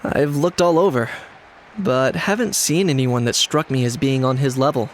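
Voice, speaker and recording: A man's voice: medium pitch, moderate pace, slight background noise, close to an everyday voice. A man voicing an easygoing, reliable young competitor in a video game, laid-back in manner. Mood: calm, easygoing.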